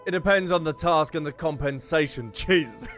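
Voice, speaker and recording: A man's voice: fairly high pitch, moderate pace, a bit bright, faint music behind. A man commentating a game playthrough, chatty and jokey, often laughing. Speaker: gaming streamer. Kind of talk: let's play commentary.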